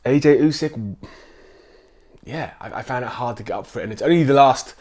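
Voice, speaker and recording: A man with a croaky, slightly rough voice from a chest cough. He talks casually to his viewers, often stopping on an um or restarting a sentence. His tone stays easy and conversational. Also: medium pitch, a little mellow. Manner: chatty, with frequent ums and restarts. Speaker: sports vlogger. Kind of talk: casual chat to camera.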